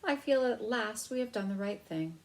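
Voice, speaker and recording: A woman's voice: mid-range voice, animated, moderate pace, gravelly, voice pushed up, rough recording. A woman voicing a fretful mother mouse, anxious and fond of her son, in a storybook reading. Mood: proud, relieved. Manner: anxious, sighing, nervous.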